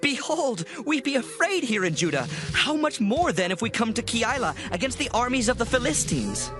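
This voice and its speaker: A man's voice: very high voice, sing-song, moderate pace, over music. A man playing a frightened follower in a scripture drama, protesting anxiously. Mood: fearful. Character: frightened male soldier among a leader's followers.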